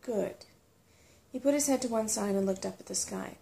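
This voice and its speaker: A woman calmly reading a storybook aloud as unhurried ASMR narration. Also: medium pitch, creaky, slight background noise. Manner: calm, unhurried.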